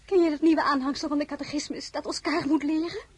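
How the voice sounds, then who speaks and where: A woman's voice: high voice, fairly even intonation, quick pace, close to an everyday voice. An actress in a radio play, playing a spirited young marshal's wife and protective mother. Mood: anxious, confiding.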